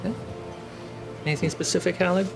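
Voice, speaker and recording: A man's voice: sits high, fairly even intonation, fast talker, vocal fry, loud music behind the voice. A man narrating a tabletop roleplaying game as game master, with ambient sound behind him. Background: ambient sound effects.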